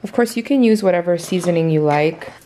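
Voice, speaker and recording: A woman's voice: fairly low pitch, brisk, dark tone, rough recording. Chatty woman narrating a home cooking vlog. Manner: chatty, friendly step-by-step explaining to viewers. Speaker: cooking vlogger. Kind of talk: casual meal prep narration. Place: home kitchen.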